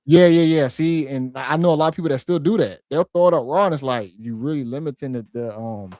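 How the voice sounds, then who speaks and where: A man's voice: on the high side, fast talker, a little mellow, no echo. A man in a casual livestream chat who rambles with restarts and keeps agreeing with "yeah.". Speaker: co-host guest.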